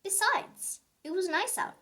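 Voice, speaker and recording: A woman's voice: very high-pitched, expressive, bright, forceful tone, soft background music, pitched above the performer's own voice, heavily acted. A woman voicing a dramatic little sour grape who tells its own story in a children's picture book read-aloud, with theatrical emphasis. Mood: calm, wistful. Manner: theatrical, exclaiming, drawn-out emphasis on words.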